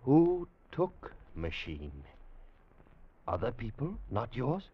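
A man's voice: mid-range voice, big pitch swings, slow pace, husky, heavily acted. An actor playing a suspicious, anxious male time traveller in an old radio drama, insistent and theatrical. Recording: muffled 1950 radio recording.

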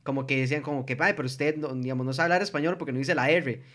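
A man's voice: medium pitch, steady tone, very fast, fairly bright tone. A young man with a Costa Rican accent hosting a casual group chat, laid-back and slangy with lots of filler words. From Costa Rica.

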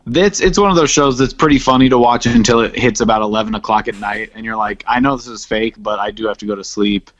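A man's voice: on the low side, quick pace, hardly any pauses, fairly bright tone, lo-fi sound. Man chatting casually, emphatic and comedic. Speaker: podcast co-host. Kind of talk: casual comedic movie chat.

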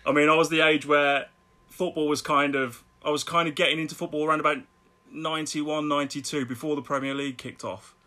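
A middle-aged man with a Birmingham accent, chatting casually as a podcast guest, laughing often and repeating words when excited. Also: fairly high pitch, fairly quick. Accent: Birmingham English. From Birmingham, England. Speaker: guest artist.

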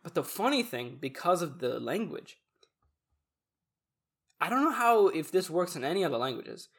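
A man's voice: fairly high pitch, big pitch swings, moderate pace, fairly bright tone, husky. A young man in casual conversation, thoughtful, explaining his ideas at length. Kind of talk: casual chat.

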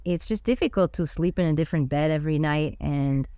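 A woman guest on a podcast who talks in a relaxed, reflective way and often restarts her sentences. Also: sits low, a little fast, clear, resonant tone, dry recording, studio quality. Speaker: guest musician. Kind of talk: casual interview.

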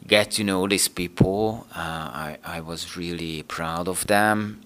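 Man, English, very low and slow, crisp bright tone, hesitant interview guest. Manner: hesitant, many fillers and restarts. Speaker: interview guest, team manager. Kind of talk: casual interview talk.

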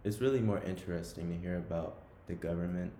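A man's voice: deep voice, fast talker, dark tone. A man hosting a casual interview, laid-back, with short affirmations and frequent "like.". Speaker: host interviewer. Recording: echoey room, faint hiss.

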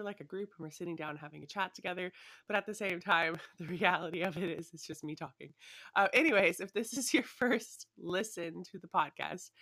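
A woman's voice: on the low side, pushed and bright, dry recording, clean studio sound. A young woman hosting her own podcast, talking in a chatty, rambling way with lots of fillers, still a little sniffly from a cold. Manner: chatty and rambling, many fillers, laughs lightly. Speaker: podcast host. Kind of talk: casual solo podcast chat. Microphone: her own desktop microphone.

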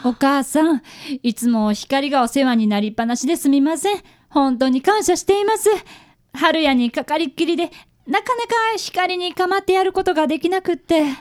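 A woman's voice: sits high, a little fast, natural voice. A woman acting an earnest, polite younger woman in a radio drama. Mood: apologetic, earnest. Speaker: amateur radio drama actor.

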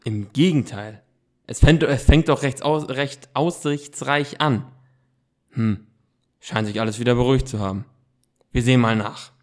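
A man's voice: medium pitch, measured pace, long pauses, close to an everyday voice. A man playing a self-assured teenage boy detective in an amateur audio drama, speaking in a formal, wordy, explanatory way. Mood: confident.

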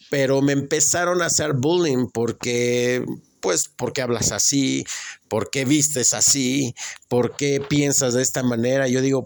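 Male, Mexican accent, gravelly fry in a mid-pitched voice, casual storyteller talking through personal experience. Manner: conversational, acts out remembered exchanges. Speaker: speaker sharing personal experience.

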